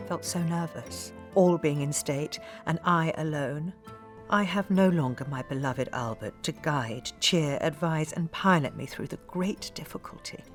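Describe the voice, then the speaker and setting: An actress reading the letters of a grieving, earnest middle-aged widowed queen in an intimate, measured, emotive way. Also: low voice. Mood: anxious, bereft. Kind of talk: dramatic reading of journals and letters. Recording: music behind the voice.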